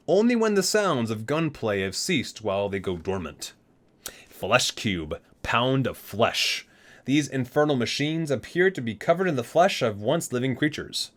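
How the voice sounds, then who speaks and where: A man's voice: mid-range voice, fairly bright tone. A man hosting a gaming ASMR video, reading entries aloud and dropping into casual, amused asides.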